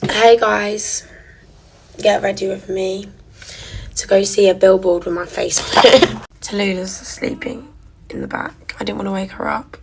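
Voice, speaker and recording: Young woman, casual giggly vlogger, mid-height voice, slips into soft whispers. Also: mid-range voice, level tone, a little slow, vocal fry, background noise. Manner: chatty and giggly, drops to a soft near-whisper at times. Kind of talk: casual get-ready-with-me chat.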